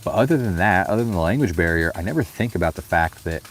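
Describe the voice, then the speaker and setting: Deep-voiced reflective man. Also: animated, faint music behind. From United States. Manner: thoughtful, unhurried, often says "you know". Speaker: vlogger. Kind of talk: reflective personal vlog talk.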